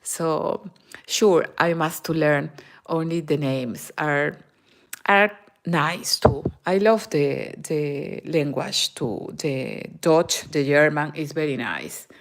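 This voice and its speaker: Slow-speaking Peruvian woman crocheting. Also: sits low. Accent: Peruvian Spanish. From Peru. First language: Spanish. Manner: slow, halting English with frequent fillers and switches into Spanish. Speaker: crochet channel host. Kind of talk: live-stream crochet tutorial and chat. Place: home in Germany.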